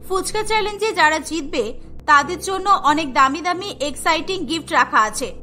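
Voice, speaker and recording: A woman voicing an excitable, confident, food-loving college girl in a cartoon, with a girlish manner. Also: very high-pitched, moderate pace, soft background music. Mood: excited. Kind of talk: cartoon voice acting.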